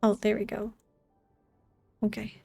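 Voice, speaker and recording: A woman's voice: mid-range voice, monotone, slow, vocal fry, mellow, no echo, soft background music. A woman streaming a video game, chatting casually with her viewers as she plays. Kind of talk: casual chat with viewers during gameplay.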